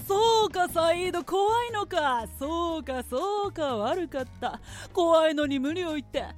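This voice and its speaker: A woman's voice: very high voice, animated, unhurried, faint music behind. A woman acting a brash, proud, scheming head of a trading company in a radio drama, with imperious, blunt phrasing. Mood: goading.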